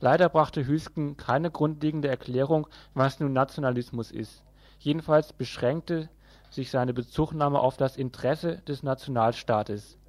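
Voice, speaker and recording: A man reading scripted critical commentary in a measured, formal, analytical manner. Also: medium pitch, no echo.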